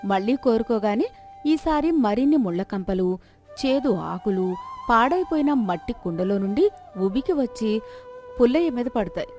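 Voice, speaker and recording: A woman's voice: on the high side, lively intonation, moderate pace, darkish tone, faint music behind. A woman narrating a scripted moral folk story as a storyteller.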